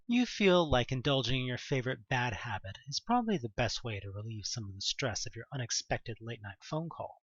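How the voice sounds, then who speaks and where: A man narrates a dramatic audio story with a lively, swooping delivery and a medium-pitched voice. The recording is very dry and close, with a slightly dull tone. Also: brisk, hardly any pauses, slightly rough, no echo. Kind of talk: dramatic second-person scripted story narration.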